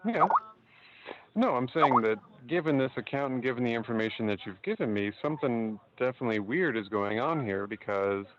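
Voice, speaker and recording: A man working as a customer support agent, talking calmly and methodically with some hesitation on a phone call. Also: mid-range voice, brisk, crowd noise. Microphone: telephone line. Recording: muffled lo-fi phone call audio.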